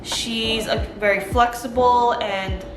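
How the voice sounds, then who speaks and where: A woman's voice: a little high, fairly even intonation, slow pace. A young woman vlogger chatting casually and enthusiastically, peppering her talk with "like". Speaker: vlogger reviewing a TV show. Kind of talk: casual chat. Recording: roomy echo with background music. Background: soft background music.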